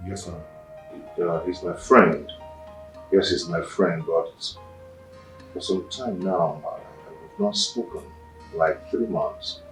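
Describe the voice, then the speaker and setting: A man's voice: low-pitched, fairly even intonation, slow pace, long pauses, fairly bright tone, soft background music. A man playing a nervous subordinate who answers his boss hesitantly in a comedy skit. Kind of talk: comic skit acting. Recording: echoey room.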